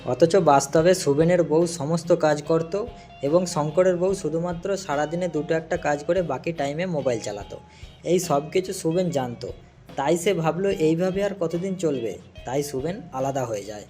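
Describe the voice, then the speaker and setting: Higher-voiced man narrating a cartoon story. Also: moderate pace, warm tone, faint music behind.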